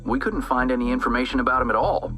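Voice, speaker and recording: An actor playing an eager, probing TV news interviewer with polished, smooth prompting in a video game. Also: male voice, medium pitch, fast talker, bright, forceful tone, faint music behind, close to an everyday voice. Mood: probing, polite.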